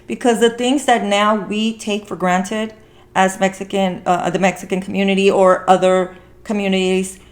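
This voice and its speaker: A woman speaks as a podcast host in an informal conversation. Her voice sits at a medium pitch. She comes across as earnest and encouraging, often repeating a phrase to press her point. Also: little pitch movement, normal speed. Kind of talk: casual podcast conversation about a film.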